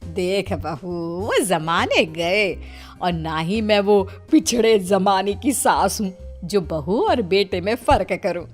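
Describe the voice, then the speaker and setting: A woman's voice: medium pitch, lively intonation, slow, soft background music. A woman voicing a doting mother-in-law in a moral story, coaxing and emphatic as she hides a scheme. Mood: coaxing reassurance.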